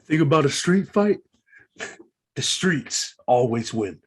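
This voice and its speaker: A man's voice: a little high, lively intonation, takes it slowly, crowd noise. A man co-hosting a podcast, chatting casually in a slangy, joking, playfully nitpicky way. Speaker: podcast co-host. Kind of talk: casual banter reviewing a movie.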